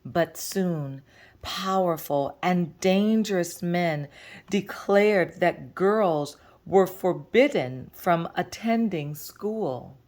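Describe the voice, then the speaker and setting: Female children's read-aloud narrator. Lower register, unhurried, expressive and deliberate phrasing. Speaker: librarian reading a picture book aloud.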